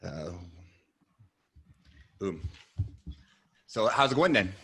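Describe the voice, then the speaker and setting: A man's voice: on the low side, sing-song, drawn-out words, fairly bright tone, breathy edge. A man with a Canadian accent hosting a podcast and interviewing a guest in a casual, conversational way.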